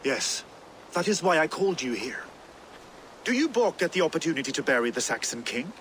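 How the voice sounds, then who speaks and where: High-ish fierce Viking warlord. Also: male voice, sing-song, brisk, slight background noise. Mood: scheming, scornful. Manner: grandiose, theatrical, forceful turns of phrase. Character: fierce, vengeful middle-aged male Viking warlord, weary and reflective. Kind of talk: dramatic video game acting.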